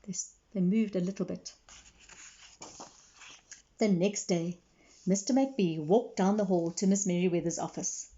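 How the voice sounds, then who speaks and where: Mellow woman reading a children's storybook. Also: on the low side, unhurried, long pauses, lo-fi sound. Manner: explains and asks questions to young listeners. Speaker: read-aloud storyteller for children. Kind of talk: expressive children's storybook narration with asides.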